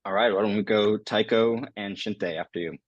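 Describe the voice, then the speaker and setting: A man's voice: on the low side, fairly even intonation, moderate pace, dry recording, dull audio. Upbeat man moderating a panel. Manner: upbeat, scripted introductions.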